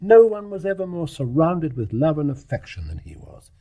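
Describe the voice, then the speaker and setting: An actor playing a brisk, dismissive English family doctor in a period radio drama, with educated, scoffing formality. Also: male voice, a little high, huge pitch swings, mellow, exaggerated voice. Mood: incredulous. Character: brusque, dismissive family doctor, defensive about his judgement. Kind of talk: 1920s stage play as radio drama.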